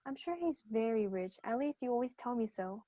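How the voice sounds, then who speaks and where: A woman's voice: a little high, animated, brisk, warm tone. A young woman acting an outspoken, skeptical party guest in a radio play. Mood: disapproving.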